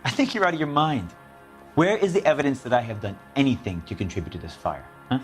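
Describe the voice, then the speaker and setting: A man's voice: medium pitch, lively intonation, fast talker, soft background music, natural voice. A man playing a slangy, defensive working-class repairman under police questioning in a video game, evasive and indignant. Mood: defiant. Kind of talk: dramatic video game interrogation acting.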